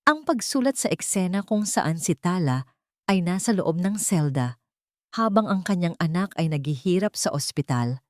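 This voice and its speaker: A woman narrating a scripted sentimental story in a measured, earnest way. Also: lowish voice, lively intonation, a little mellow, no room sound, studio quality. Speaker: storyteller, narrator of audio drama. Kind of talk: scripted dramatic first-person narration.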